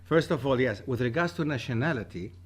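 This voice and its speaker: Lively, storytelling man in his forties, a lecturer from Germany, speaking at a table mic in a room with a projection screen. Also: mid-range voice, fairly quick, slightly rough. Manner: animated, gestures with both hands, tells stories. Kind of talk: university talk with audience questions. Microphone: table mic on a stand.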